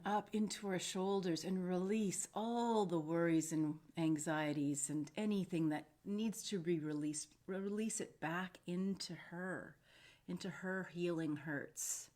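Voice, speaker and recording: Slow, low-voiced woman guiding meditation. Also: a little mellow. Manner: soothing, unhurried, meandering with pauses. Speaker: host and meditation guide. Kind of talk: unscripted live talk and guided meditation.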